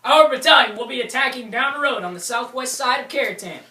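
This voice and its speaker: A man as an earnest, declamatory young-sounding soldier, bright and high, heard from the back rows of a war play. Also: animated, quick pace, heavily acted. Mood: serious, briefing. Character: earnest American airborne soldier recounting battles as comrade. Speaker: actor in a war drama. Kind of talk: theatrical war narration. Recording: echoey room from far off, noisy.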